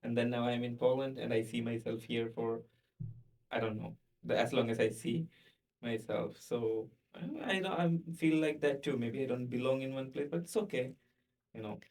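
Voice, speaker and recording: A man's voice: mid-range voice, normal speed, darkish tone, recorded from a distance. A man from India hosting a podcast, talking casually and earnestly in a reflective way. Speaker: podcast host.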